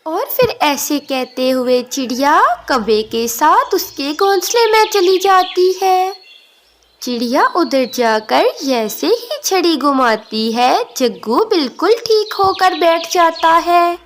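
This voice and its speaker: A woman narrating a scripted children's story in a measured way with drawn-out phrase endings. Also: high voice, sing-song. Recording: faint noise, birdsong behind.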